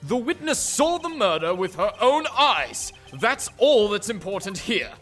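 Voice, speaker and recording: An assertive, haughty male prosecutor argues in a courtroom game, acted by a man. His voice is fairly high and animated, with music underneath. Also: unhurried, heavily acted. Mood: forceful. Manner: assertive, declamatory. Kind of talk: visual novel game fandub.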